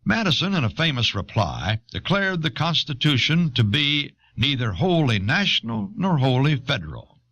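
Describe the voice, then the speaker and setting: Bright-voiced male narrator, lively pitch. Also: normal speed, gravelly. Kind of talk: scripted educational narration. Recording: dull old cassette audio.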